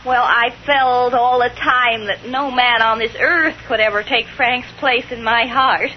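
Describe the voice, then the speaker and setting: An actress playing an earnest, emotional young bride in 1930s radio style, breathless and pleading. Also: female voice, a little high, steady tone, measured pace, close to an everyday voice. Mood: wistful. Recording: dull, noisy old 1930s radio recording.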